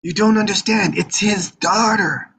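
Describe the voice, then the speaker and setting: A man playing a brash, eager young Sicilian bodyguard with a raspy voice in a live script table read. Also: sits high, unhurried, a bit bright, heavily acted. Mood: alarmed. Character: excitable young Sicilian bodyguard, brash, pleading and star-struck by America.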